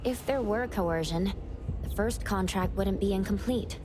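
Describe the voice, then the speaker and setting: A voice actress playing a composed, analytical young female investigator in a video game, reasoning aloud in a measured, serious way. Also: medium pitch, over music, lightly acted. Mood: explaining. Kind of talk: video game character acting.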